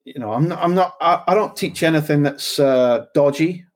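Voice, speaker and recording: A man's voice: on the high side, moderate pace. Rambling Scottish man, casual talk. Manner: rambling, repeats "do you know what I mean". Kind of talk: casual conversation.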